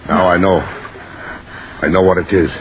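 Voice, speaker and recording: An actor playing a terror-struck man, halting and intense with dread, in an old-time radio horror melodrama. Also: deep voice, little pitch movement, lightly acted. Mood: dazed dread. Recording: noisy, dull vintage radio recording.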